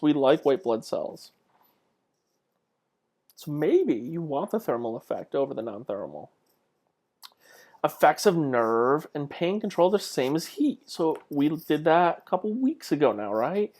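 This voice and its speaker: A man's voice: a little high, a little mellow. Conversational male instructor giving a lecture. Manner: conversational, asks rhetorical questions. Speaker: college instructor. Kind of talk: informal narrated lecture over slides.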